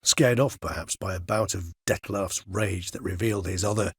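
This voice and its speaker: A gentlemanly older vampire for a fantasy video game. His voice is low and heavily husked, recorded close and dry. He chooses his words with formal, scholarly care and speaks as a gentle, loyal friend. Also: breathy edge, no room sound, full character voice. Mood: calm, thoughtful. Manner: formal, eloquent, measured old-fashioned phrasing.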